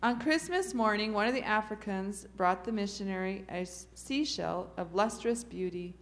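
A woman reads a short story aloud for a young audience. Her pitch sits in the middle of the range, and her delivery is steady, as if she were reading from the page. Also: normal speed. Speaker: story reader.

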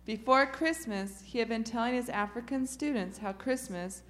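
A woman reading a short story aloud to children in a steady storytelling manner. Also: fairly high pitch, normal speed, a little hiss or hum. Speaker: story reader.

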